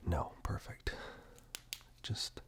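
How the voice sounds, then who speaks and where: A man doing soft-spoken, half-whispered ASMR roleplay, reassuring and unhurried, speaking directly to the listener close to a binaural microphone. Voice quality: breathy whisper mixed with very deep, gravelly murmur. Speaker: ASMR performer playing caregiver and eye examiner roles.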